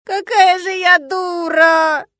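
Audiobook role: female reader as lovesick young witch, high-pitched, weepy, melodramatic, blames herself. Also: fairly even intonation, slow, a bit bright, no echo, raised voice, clean studio sound, exaggerated voice. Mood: wailing despair. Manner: wailing, sobbing. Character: lovesick young witch woman, weepy, self-reproaching, dramatic. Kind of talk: dramatised audiobook.

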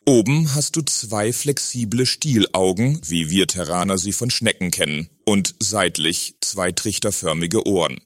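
An actor playing a curious, eager Earthling in a satirical radio play, with a theatrical delivery. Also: male voice, low voice, lively intonation, measured pace, few pauses, a bit bright, dry recording, clean studio sound, exaggerated voice. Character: curious, eager male Earthling questioning an alien.

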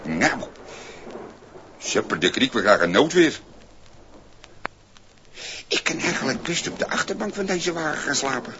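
A scruffy, cheeky vagrant in a 1960s radio play. His bright, very animated voice mutters to itself, exclaims and trips over itself when flustered. The old record is muffled, with a little noise. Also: mid-range voice, unhurried, long pauses. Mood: delighted wonder. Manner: stammering when flustered, exclaiming, talking to himself. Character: scruffy good-natured cheeky vagrant man, easily flustered and indignant. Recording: muffled, noisy 1960s vinyl single recording.

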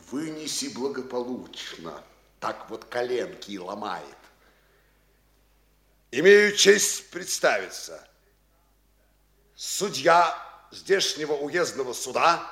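An actor playing a nervous, fussy provincial judge in a classic radio play, fretful and bossy by turns. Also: male voice, medium pitch, bright, forceful tone, echoey room, heavily acted. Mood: frightened. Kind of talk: classic stage-style radio acting.

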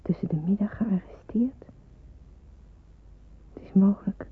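A woman's voice: mid-range voice, fairly even intonation, a little slow, a little hiss or hum, lightly acted. A woman acting an eager, deferential teenage girl who wants to be a nurse, in an old radio play, sounding meek and hesitant. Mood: hushed, uneasy. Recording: muffled narrow-band old radio recording.

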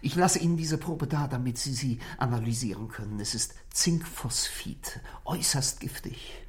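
A man's voice: medium pitch, animated, normal speed, natural voice. Actor as nervous husband guy, hesitant, apologetic, kinda whispery at times, old sixties radio play. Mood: urgent. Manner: hesitant, apologetic, earnest, breaking into whispery undertones. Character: troubled married man, anxious, self-taught, convinced he is being poisoned. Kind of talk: 1960s German radio play acting. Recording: vintage 1960s radio drama recording.

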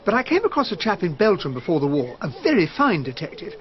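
An actor playing an affable, polite English army captain with an upper-class accent in a radio drama, courteous and a little hesitant. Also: male voice, high-pitched, huge pitch swings, quick pace. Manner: courteous, eager, slightly hesitant. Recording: dull, muffled radio drama recording.

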